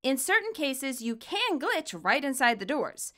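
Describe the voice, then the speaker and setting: A woman reading scripted commentary and story narration, expressive and wry as the author of the tale. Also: high voice, unhurried. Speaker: fan fiction author and narrator.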